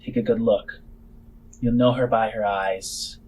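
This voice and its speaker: A man playing a shrewd, measured female alien informant in a tabletop game, speaking formally and haltingly over music. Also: fairly low pitch, steady tone, a little mellow, slight background noise, lightly acted, poor audio. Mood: grave, deliberate.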